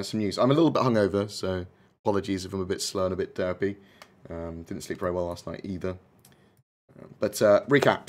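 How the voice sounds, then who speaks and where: Hoarse, low-voiced British-born man rambling. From United Kingdom. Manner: rambling, lots of ums and uhs. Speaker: gaming channel host. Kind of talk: casual livestream chat.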